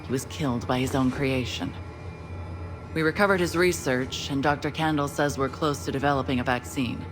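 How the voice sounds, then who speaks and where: Blunt, low-voiced female field commander, music behind. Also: normal speed. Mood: matter-of-fact briefing. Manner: matter-of-fact, blunt briefing. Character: steady, candid female agent and acting division commander. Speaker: commander briefing an agent. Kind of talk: scripted game cutscene dialogue.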